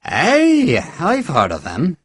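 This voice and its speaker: A man's voice: on the high side, wildly swooping pitch, slow, fairly bright tone, slightly rough, no room sound, clean studio sound, voice pushed up, exaggerated voice. A man doing a huge good-natured Irishman with an Irish accent. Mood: polite.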